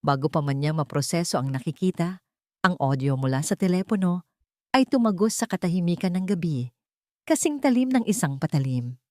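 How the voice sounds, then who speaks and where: Casting: female Filipino storyteller, lowish register, scripted dramatic delivery, very dry recording. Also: expressive, fairly quick, darkish tone, dry recording. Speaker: story narrator.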